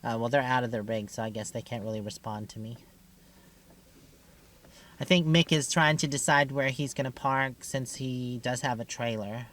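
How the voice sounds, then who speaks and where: An American woman chatting casually and informally as she vlogs from her motorhome, often talking to herself. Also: moderate pace. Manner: chatty, informal, talks to self while driving. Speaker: RV travel vlogger and driver. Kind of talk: casual unscripted vlog chat. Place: inside a motorhome, outdoors on the road. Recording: noisy vehicle rumble and ambience.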